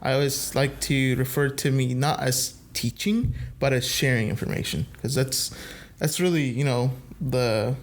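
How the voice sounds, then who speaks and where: A man's voice: medium pitch, steady tone, measured pace. A man from El Salvador who grew up partly in the US, speaking as a guest in a casual podcast conversation. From El Salvador, moved to the US at age eight. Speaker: podcast guest, youth minister.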